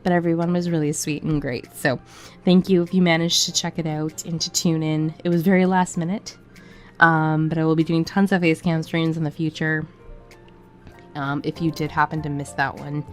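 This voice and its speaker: A woman with a lowish voice gives an informal, conversational gaming commentary. She sounds a bit sick and prone to cracking from a cough. Music plays behind her. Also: flat delivery, moderate pace. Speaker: gaming video creator. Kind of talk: casual voiceover commentary on a game build. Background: background music.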